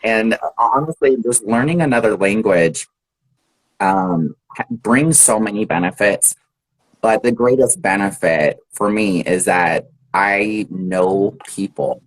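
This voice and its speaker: An American man with a fairly low voice talks easily on a live video call. He is relaxed and chatty and laughs often as he speaks. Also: takes it slowly, low-quality recording. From United States. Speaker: English teacher. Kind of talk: casual live chat.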